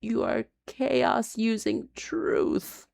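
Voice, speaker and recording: A woman's voice: fairly high pitch, huge pitch swings, drawn-out words, vocal fry, a little mellow, voice pushed up, heavily acted. A woman voicing a malevolent kelpie, a taunting, raging water monster in an urban fantasy story. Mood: mocking. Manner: shrieking, taunting.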